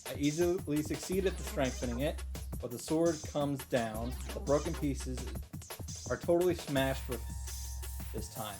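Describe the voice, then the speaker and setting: A man's voice: fairly high pitch, measured pace. A man reading a visual novel's first-person narration aloud in an earnest, dramatic way and commenting as he goes. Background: game music.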